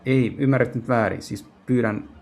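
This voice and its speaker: A man playing through a visual novel, translating it aloud in casual speech and often halting to search for words. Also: mid-range voice, darkish tone. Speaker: game streamer. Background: game music.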